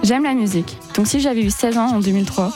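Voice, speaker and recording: Fast-reading teenage girl columnist. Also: a little high, music in the background. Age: teens. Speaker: young radio columnist. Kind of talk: scripted read segment.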